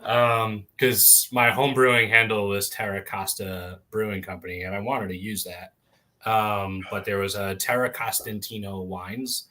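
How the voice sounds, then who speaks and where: A man, a brewery owner talking easily and casually as a guest on a beer show. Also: fairly low pitch. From North Jersey, US. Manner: relaxed, easygoing, quick laughs. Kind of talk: casual podcast chat.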